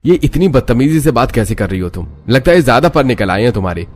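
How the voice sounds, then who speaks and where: A man playing a loud short-tempered husband. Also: medium pitch, big pitch swings, quick pace. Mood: angry. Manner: loud, commanding, impatient. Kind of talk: animated storybook voice acting.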